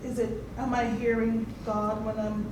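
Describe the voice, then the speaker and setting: A woman's voice: a little high, level tone, unhurried. A woman in a seminar audience asking a personal question in an informal, tentative and hesitant way. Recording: echoey, noisy room, distant mic.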